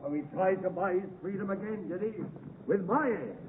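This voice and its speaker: An actor playing a desperate, dogged escaped convict, rough and emphatic, in 1950s radio drama style. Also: male voice, high-pitched, normal speed, natural voice. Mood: defiant, desperate. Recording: tinny, noisy 1950s radio broadcast.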